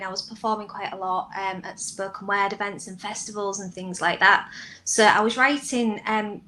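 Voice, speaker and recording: A woman's voice: medium pitch, sharp tone, slight background noise, rough recording. A woman writer and performer giving reflective, conversational answers in an online interview. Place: indoors on a live online video call.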